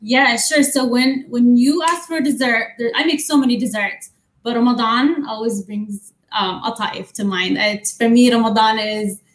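A young woman who grew up in the Middle East chats about her baking from her home kitchen, talking over a webcam. Her voice sits a little high, and she speaks warmly, with plenty of fillers. The kitchen gives her voice a roomy echo. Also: normal speed. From Middle East, grew up in Amman. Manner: warm, chatty, many fillers. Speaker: guest baker. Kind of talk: casual chat and cooking demo. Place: home kitchen, on video call.

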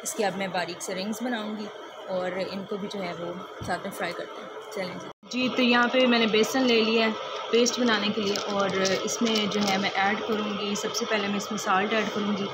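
A woman's voice: medium pitch, steady tone, normal speed. A woman, chatting step by step through a home cooking demonstration and often using the filler "jo hai". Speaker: cooking vlogger. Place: home kitchen, indoors. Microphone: phone held over the stove. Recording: noisy phone recording in a kitchen. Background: loud kitchen noise, frying and running water.

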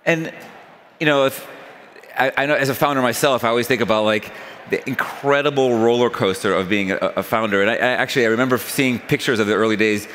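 A man's voice: mid-range voice, fast, a bit bright. A middle-aged man hosts a conversation on a big conference stage, wearing a headset mic. His questions wander and restart as he feels his way to the point. A faint hum lies under his voice. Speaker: interviewer, investor.

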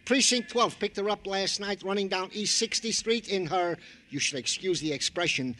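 An actor playing a chatty, jokey, doting police sergeant in an old radio drama, with a wordy, mock-formal manner. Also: male voice, high voice, moderate pace, pushed and bright. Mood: reporting, wry. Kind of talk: 1940s-50s radio acting. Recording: noisy vintage radio recording.

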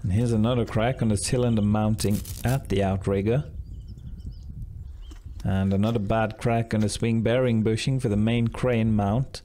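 A young man hosting a casual do-it-yourself video, explaining each repair step in a relaxed, self-deprecating way. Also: lowish voice, normal speed, slight background noise. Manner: casual, self-deprecating, thinks aloud while explaining steps. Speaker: channel host. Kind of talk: casual DIY vlog narration.

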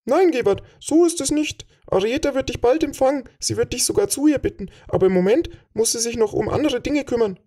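A man's voice: very high-pitched, lively intonation, fairly quick, hardly any pauses, dark tone, pitched above the performer's own voice. A man voicing an overworked, kindly servant woman who laments and apologises, in a fantasy audiobook. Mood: reassuring. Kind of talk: audiobook character voice.

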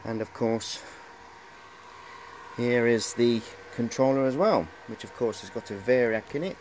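A man's voice: mid-range voice, measured pace, a little mellow, a little hiss or hum, rough recording. A middle-aged man giving a casual, hesitant, rambling show-and-tell on a handheld camera in a cluttered workshop. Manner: rambling, hesitant, repeats "of course". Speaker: hobbyist presenter.

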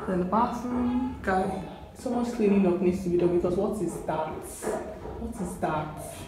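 A woman's voice: lowish voice, noisy background. A young Nigerian woman with a Nigerian accent chats casually to a handheld camera, thinking aloud with plenty of fillers as she walks through a small, bare apartment. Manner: relaxed, chatty, thinking aloud with many fillers. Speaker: vlogger. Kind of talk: casual vlog chat to camera. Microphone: handheld camera close to face. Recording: echoey empty rooms with background music.

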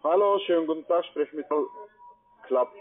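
A man's voice: on the high side, normal speed. A man making a sales introduction on the phone as a call centre agent. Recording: tinny phone line with busy crowd.

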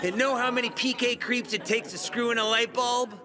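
Brash, piercingly bright brawler. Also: male voice, very high-pitched, fairly even intonation, fairly quick. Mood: gleeful, crude. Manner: boisterous, jeering. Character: brash, cocky, crude brawler, loyal to his boss. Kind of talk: video game voice acting.

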